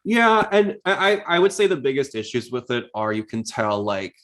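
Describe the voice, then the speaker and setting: A man's voice: medium pitch, very animated, normal speed, fairly bright tone. A man hosting a podcast, talking casually about anime in a conversational way. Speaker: podcast host.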